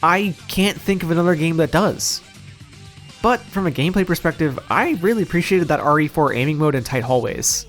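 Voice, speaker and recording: A man's voice: on the high side, animated, a little fast, a bit bright. A man narrating a scripted video game review in a wry, opinionated, casually profane manner, as the reviewer himself. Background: music.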